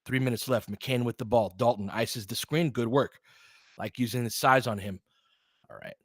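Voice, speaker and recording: A man's voice: medium pitch, normal speed, fairly bright tone, no room sound. Informal man calling basketball, close dry sound. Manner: partisan, urging on the home team. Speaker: play-by-play commentator. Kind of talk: informal live basketball commentary.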